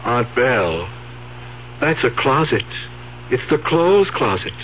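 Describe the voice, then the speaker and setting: An actor playing a scheming, impatient nephew in a 1970s radio drama, speaking firmly and deliberately with an exasperated edge. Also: male voice, mid-range voice, sing-song. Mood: patient, corrective. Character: scheming, impatient nephew humouring his aunt. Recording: dull old radio recording, faint hum.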